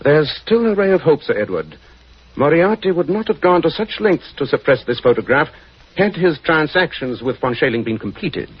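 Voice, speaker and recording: An actor playing a shrewd, commanding English gentleman detective in a 1940s radio drama, speaking precisely and with authority. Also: on the high side, big pitch swings, normal speed, breathy edge. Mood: brisk, grave. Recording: dull, noisy 1940s radio recording.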